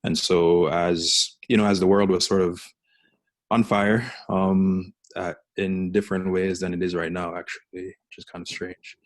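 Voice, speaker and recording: A young man from Jamaica, an artist and teacher, introducing himself on a video call panel, reflective and halting. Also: sits low, level tone, dry recording.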